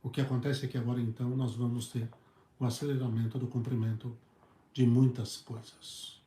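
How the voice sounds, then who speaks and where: A hoarse male priest with a Brazilian Portuguese accent, preaching earnestly in halting phrases and often repeating his words. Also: mid-range voice, level tone, mellow, a little hiss or hum. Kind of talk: preached talk on a video channel. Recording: echoey room.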